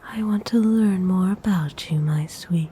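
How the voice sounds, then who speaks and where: Woman acting as female vampire noble, breathy whisper, lowish pitch, dark soft tone, soothing, possessive, intimate ASMR roleplay. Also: a little hiss or hum, close to an everyday voice. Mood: gentle, caring. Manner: soothing, slow, intimate coaxing.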